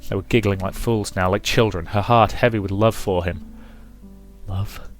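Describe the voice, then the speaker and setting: A man's voice: on the low side, steady tone, moderate pace, soft background music. A British man in his twenties, a let's play host reading a game aloud and commenting in a wry, rambling way. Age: 20s. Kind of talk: casual commentary while reading a visual novel aloud.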